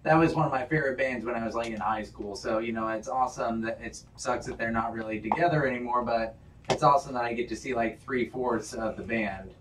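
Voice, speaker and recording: A man's voice: medium pitch, a little fast, a bit bright, recorded from a distance, slight background noise. Rambling man musician in a roomy room. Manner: rambling, full of "like" fillers. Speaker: musician guest. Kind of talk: casual chat. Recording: roomy, from off mic.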